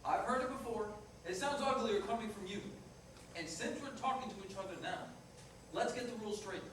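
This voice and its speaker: A frank, remorseful working-class ex-fiancé, acted by a man in a stage play, plain-spoken and rather high in pitch. The sound is distant, with heavy room echo. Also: brisk, close to an everyday voice. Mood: firm, protective. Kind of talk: staged reader's theater dialogue. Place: indoor performance room, distant microphone. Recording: echoey noisy room recorded from far off.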